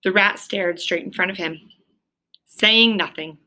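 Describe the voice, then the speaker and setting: A woman narrates a storybook aloud in a bright, forward voice. Her pitch sits in the middle, and she reads expressively as if for a child. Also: unhurried, poor audio. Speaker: storybook reader.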